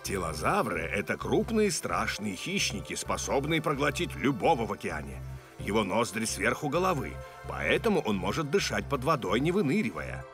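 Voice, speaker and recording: A man voicing an excitable middle-aged dinosaur professor in a cartoon, explaining expressively. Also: lowish voice, normal speed. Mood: eager explaining. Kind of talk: cartoon voice acting in Russian. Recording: music behind.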